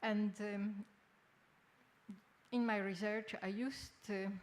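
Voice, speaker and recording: An older woman from Poland with a Polish accent gives a formal conference lecture in halting, hesitant phrases. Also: mid-range voice, fairly even intonation. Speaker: academic speaker, textile researcher. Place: indoor symposium venue. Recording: noisy live symposium room recording.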